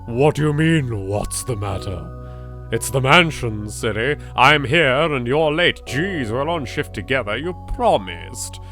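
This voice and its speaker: A man's voice: mid-range voice, lively intonation, a little slow, a bit bright, faint music behind. A British man voicing a jittery, chatty young woman in a horror game, exasperated and teasing. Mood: pouting, nagging.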